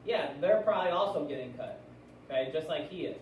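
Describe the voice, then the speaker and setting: Echoey, higher-voiced American man teaching. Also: lively intonation, fairly quick, muffled recording. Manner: explanatory, often checking in with listeners with questions. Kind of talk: classroom read-aloud with discussion questions. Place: classroom. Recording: very echoey room, distant mic, noisy.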